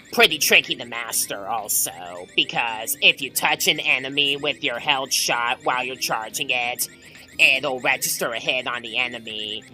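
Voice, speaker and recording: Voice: medium pitch, bright, forceful tone. A video game commentator giving casual gameplay commentary, explaining things and adding personal opinions. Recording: game music behind the voice. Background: video game music.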